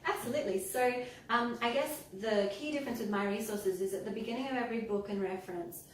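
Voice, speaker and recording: A woman with a Persian Australian accent explains her books earnestly, pausing with ums. She is recorded in a very echoey room from a distant mic with faint noise underneath. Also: fairly high pitch. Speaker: guest, children's book author and pharmacist. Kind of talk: interview answers.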